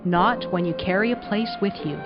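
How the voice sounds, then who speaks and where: A woman's voice: mid-range voice, normal speed, muffled recording, music in the background, natural voice. A woman voicing a kindly, reassuring old grandfather in a children's storybook. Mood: warm reassurance.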